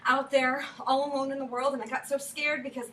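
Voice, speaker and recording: A woman on stage playing a flustered, heartbroken woman who searches for a lost love in halting, stammering, apologetic speech. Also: high voice, little pitch movement, sharp tone. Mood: anxious, hopeful. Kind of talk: staged play reading. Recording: roomy, distant mic, faint noise.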